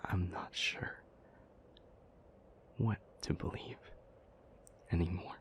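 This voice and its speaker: Male actor as grave demon lover for audio roleplay: deep, slow, half-whispered, troubled but powerful. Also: steady tone. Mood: uneasy, confiding. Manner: slow, deliberate, halting phrases. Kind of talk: intimate audio roleplay acting.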